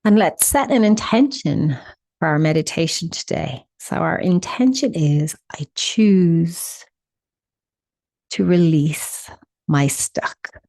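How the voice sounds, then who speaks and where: A woman leading a guided meditation in a soothing, instructional manner with deliberate pauses. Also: deep voice, animated, gravelly, mellow, no room sound.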